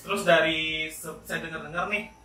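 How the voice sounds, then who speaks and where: A man's voice: on the high side, steady tone, moderate pace, sharp tone. A man hosting a casual podcast interview, chatty and often checking for agreement with short tag questions. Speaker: host of an interview channel. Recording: roomy echo, distant mic, faint music.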